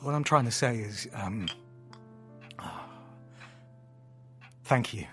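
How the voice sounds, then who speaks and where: An actor plays a playful, theatrical storyteller in an audio drama. The voice sits at a medium pitch, with hammy flourishes and hesitant, affectionate asides, and music plays softly underneath. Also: moderate pace, lightly acted. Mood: tender, apologetic.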